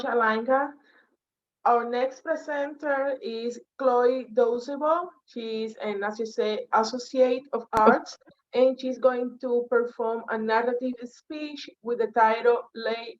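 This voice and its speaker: A woman hosts a speech showcase and introduces each presenter. Her voice is a bit high, and she speaks politely with small slips. The sound is dull and lo-fi. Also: steady tone. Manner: polite, slightly halting. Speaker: event host. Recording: lo-fi online call audio.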